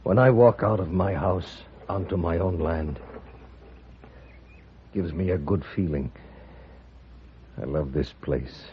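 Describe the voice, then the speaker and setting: Casting: an earnest, dignified rancher in an old radio western, deep and deliberate. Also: male voice. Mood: solemn, moved. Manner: earnest, solemn. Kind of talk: 1950s radio western acting. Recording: dull, slightly noisy old radio recording.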